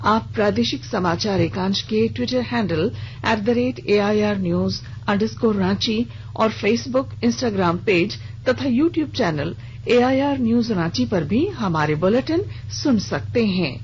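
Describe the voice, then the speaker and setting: A woman's voice: medium pitch, animated, slow, darkish tone. A woman reading a scripted regional radio news bulletin as the newsreader. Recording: dull lo-fi radio broadcast with hiss.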